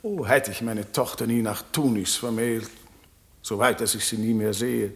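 A man's voice: lowish voice, moderate pace, natural voice. An actor playing a grieving, weary king in a solemn, mournful manner in a German radio play.

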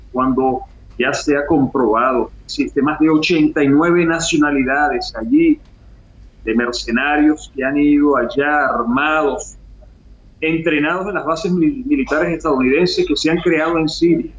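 A man's voice: fairly high pitch, echoey room, highs cut off. A Venezuelan man with a Venezuelan accent, an international analyst speaking emphatically as a guest on a remote radio interview. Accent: Venezuelan Spanish.

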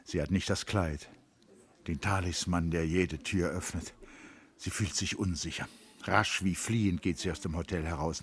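Deep-voiced, sombre male narrator. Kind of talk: literary scripted radio drama narration.

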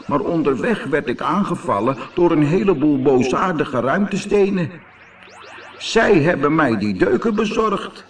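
Plaintive, dented lost rocket in a children's tale, male narrator acting it, raised pitch, swooping delivery. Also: animated, a little slow, far from the mic, faint music behind. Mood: plaintive, pained. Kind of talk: children's audiobook character voice.

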